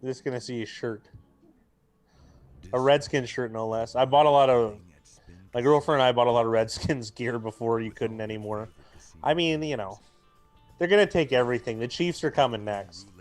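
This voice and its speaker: A young man with a booming voice, co-hosting a live football watch stream in rambling casual chat, mic clipped to his jacket. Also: normal speed, pauses often, faint music behind. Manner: rambling, talkative, full of restarts and fillers. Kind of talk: casual chat and game commentary. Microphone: jacket used as mic mount.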